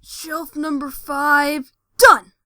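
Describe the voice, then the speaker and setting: A woman's voice: high-pitched, flat delivery, extremely slow, pushed and bright, no room sound, clean studio sound, exaggerated voice. A woman voicing a young, questioning, mildly exasperated male cartoon dragon in an audio drama. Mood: cheeky, exasperated.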